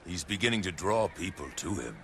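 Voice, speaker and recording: An actor playing a proud, ambitious warlord of ancient China in a game cutscene, speaking gravely in measured, oratorical phrases. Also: male voice, deep voice, very animated, a bit bright, a little hiss or hum, heavily acted. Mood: grave, wary. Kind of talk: dramatic video game cutscene acting.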